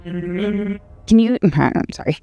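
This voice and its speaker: A woman's voice: mid-range voice, lively intonation, slow, vocal fry, smooth, dull audio. A woman reading game dialogue aloud in character during a playthrough.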